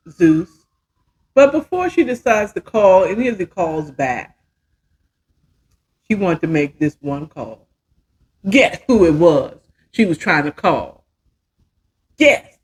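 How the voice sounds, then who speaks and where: A woman in her fifties telling a story casually as a podcast host, chatty and emphatic, often checking in with the listener. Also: fairly low pitch. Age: 50s.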